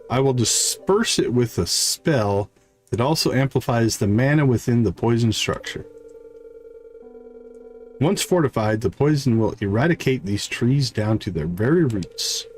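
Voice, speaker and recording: A man plays a grave, scholarly village wizard from a video game, speaking formally and deliberately. The pitch is middling and there is some background noise. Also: close to an everyday voice. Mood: solemn. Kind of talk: reading video game dialogue aloud.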